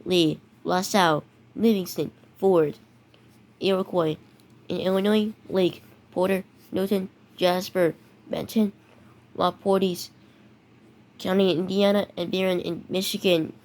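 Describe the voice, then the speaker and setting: A voice in a fan-made emergency alert, reading warnings haltingly, with frequent restarts and stumbled words. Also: female voice, fairly low pitch, steady tone, a little mellow. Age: teenager. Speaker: mock emergency alert announcer. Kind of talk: improvised fake emergency broadcast.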